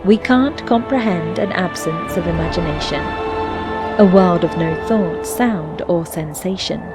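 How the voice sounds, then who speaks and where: Woman playing a reflective fantasy game narrator, really slow solemn poetry reading, music behind her. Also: fairly low pitch, lightly acted. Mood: contemplative. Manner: measured, solemn, lyrical delivery.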